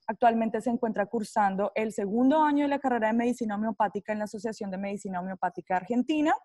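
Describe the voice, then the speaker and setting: A woman's voice: fairly high pitch, fast, dark tone, no echo, clean studio sound. A woman hosting a podcast, enthusiastically reading her guest's background and asking questions. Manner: enthusiastic, reads guest bio. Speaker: podcast host. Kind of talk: podcast introduction and interviewing.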